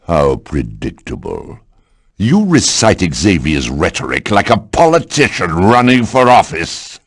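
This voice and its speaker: A man voicing a stern older mutant supremacist leader in a video game, lofty, measured and patronizing. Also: low-pitched, huge pitch swings, husky, dry recording, studio quality, heavily acted. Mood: disdainful. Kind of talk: video game villain voice acting.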